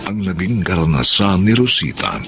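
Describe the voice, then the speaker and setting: A man's voice: deep voice, sing-song, normal speed. A man with a Filipino accent hosting and announcing a radio drama in a dramatic scripted style. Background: loud music.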